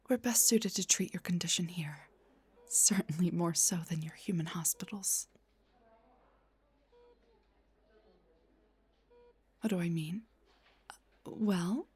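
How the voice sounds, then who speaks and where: A woman playing a gentle, refined vampire doctor in a soft-spoken roleplay, breathy and whispery, with a polite, slightly hesitant manner. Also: medium pitch. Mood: soothing, caring.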